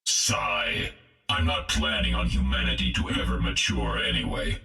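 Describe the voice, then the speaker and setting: A man voicing a cold, disdainful inhuman villain in a video game, with a rasping, gravelly voice. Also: extremely low pitch, lively intonation, normal speed, bright, forceful tone, faint music behind, exaggerated voice. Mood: cold contempt. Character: contemptuous killer robot and menacing alien parasite, inhuman male villains. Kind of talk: video game character acting.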